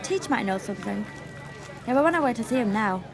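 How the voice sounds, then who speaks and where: A woman's voice: fairly high pitch, animated, a little fast, gravelly, music in the background, crowd noise. A woman playing a tough, cocky mercenary in an audio drama, brash and confident. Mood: casual. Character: tough, cocky female gun-toting mercenary.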